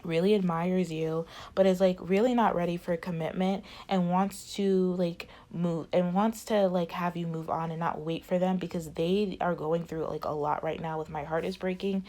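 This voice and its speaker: A woman's voice: fairly low pitch, steady tone, moderate pace, hardly any pauses, warm tone. Rambling woman reading tarot, fading voice. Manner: rambling, many filler likes. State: losing her voice. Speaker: tarot reader. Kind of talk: casual tarot reading monologue.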